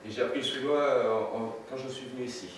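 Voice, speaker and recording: A man's voice: mid-range voice, fairly even intonation, fast talker. A man in his fifties from France, presenting his photography at a seminar, with many fillers and restarts. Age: 50s. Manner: hesitant, many fillers and restarts, light chuckles. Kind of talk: seminar talk with slides. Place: seminar room with audience. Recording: echoey room, distant mic, noisy.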